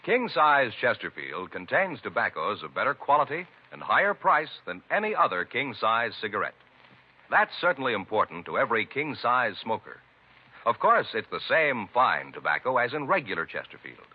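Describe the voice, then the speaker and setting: A man's voice: mid-range voice, big pitch swings, normal speed. A man delivering scripted 1950s radio announcements in a formal, emphatic way. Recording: dull, husky-sounding vintage radio recording.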